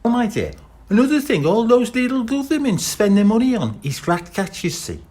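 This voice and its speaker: A man's voice: very high voice, big pitch swings, moderate pace, mellow, pitched above the performer's own voice. A man voicing a streetwise male talking cat with a wheedling, persuasive patter in an audiobook. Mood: scheming.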